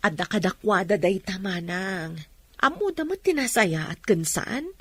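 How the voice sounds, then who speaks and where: An actress playing a calm, trusting mother of grown sons in a radio drama, patient and questioning. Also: mid-range voice, measured pace, breathy edge, dry recording. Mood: defensive.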